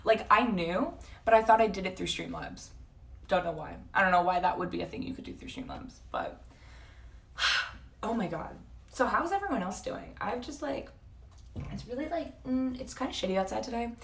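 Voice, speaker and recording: Woman in her early twenties, North American accent, livestreamer, informal rambling chat, mid-range pitch, slightly distant microphone. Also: lively intonation, moderate pace, vocal fry. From San Jose, California and Toronto, Canada. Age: early 20s. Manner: rambling, informal, slangy, self-interrupting. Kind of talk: casual chat to livestream viewers.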